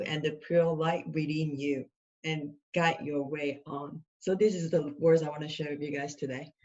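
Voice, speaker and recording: A woman on a video call, simply sharing words she likes with the group. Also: sits low. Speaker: meeting participant. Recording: dull lo-fi call audio.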